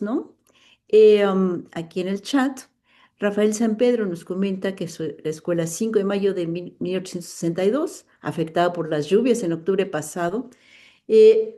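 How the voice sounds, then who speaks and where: A woman's voice: mid-range voice, mellow. A woman with a Mexican accent moderating an online book launch, reading out chat comments with frequent fillers over a video call.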